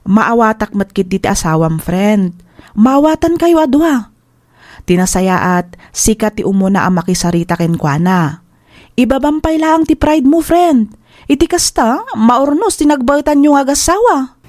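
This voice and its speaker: A woman's voice: on the high side, very animated, a little slow, a little mellow, no echo, studio quality. A Filipino woman acting in an audio drama as a supportive, teasing best friend who gives advice. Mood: advising.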